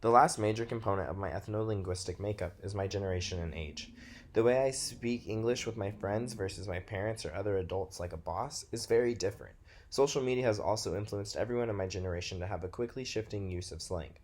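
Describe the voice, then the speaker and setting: A man's voice: fairly low pitch, quick pace. A nineteen-year-old man from Texas with a Texas American accent, narrating a scripted presentation as a student. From Texas, United States.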